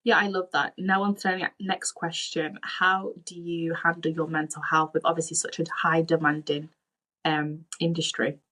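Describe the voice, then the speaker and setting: A woman's voice: deep voice, little pitch movement, normal speed, a bit bright, low-quality recording. A woman hosting a podcast. She asks questions and reacts to the answers with encouraging affirmations. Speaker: podcast host. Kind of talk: interviewing a guest.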